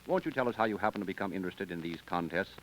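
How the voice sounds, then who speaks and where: A man's voice: low voice, expressive, rapid-fire, over loud music. A man hosting and announcing in a formal, declamatory 1930s radio style. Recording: dull vintage radio recording.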